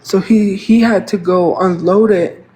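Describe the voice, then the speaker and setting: A man's voice: high-pitched, fairly even intonation, unhurried, a little mellow, slight background noise, rough recording. A man in his thirties with a congested nose, rambling and venting in casual livestream chat. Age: 30s. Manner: rambling, venting, repeats himself.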